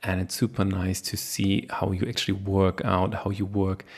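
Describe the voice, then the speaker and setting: A man's voice: deep voice, flat delivery, normal speed, slightly rough. A man hosting a podcast interview in a conversational style over an online call. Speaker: podcast host.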